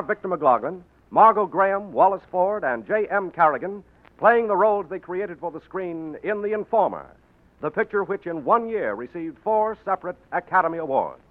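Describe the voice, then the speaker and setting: A man announcing in a formal, grand, declamatory 1940s radio style. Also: a little high. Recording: tinny 1940s radio recording, music behind.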